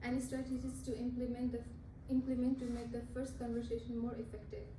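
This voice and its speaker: High-voiced woman, Nepali native, roomy distant sound. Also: little pitch movement, noisy background. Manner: halting, with restarts and self-corrections. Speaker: student speaker. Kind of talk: class presentation speech.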